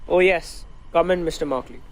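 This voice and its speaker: An earnest lawyer acted by a young man presses his case in a radio play. His voice is high for a man, with faint noise behind it. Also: moderate pace, natural voice. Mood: firm. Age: young adult. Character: earnest, principled male plaintiffs' lawyer. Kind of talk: student radio drama acting. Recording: faintly noisy home recording.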